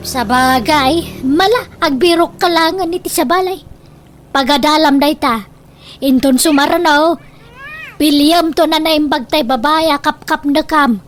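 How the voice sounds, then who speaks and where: A woman's voice: high-pitched, measured pace, slight background noise. An actress playing a stubborn, meddling old mother in a radio drama, with a Filipino accent and a scolding, dismissive manner. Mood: scornful. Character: stubborn, meddling old mother who indulges her son.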